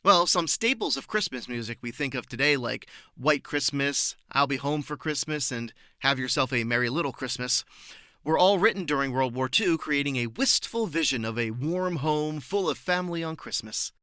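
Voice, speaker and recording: Bright, dry-recorded man explaining as guest. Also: mid-range voice, lively intonation, moderate pace, clean tone, dry recording. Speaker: interview guest. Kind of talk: prepared explanatory interview answers.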